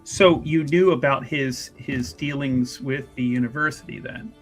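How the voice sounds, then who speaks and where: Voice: mid-range voice, unhurried, close to an everyday voice. A player voicing a polite, hesitant female church investigator who repeats words while probing, in a tabletop roleplay. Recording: music behind the voice. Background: music.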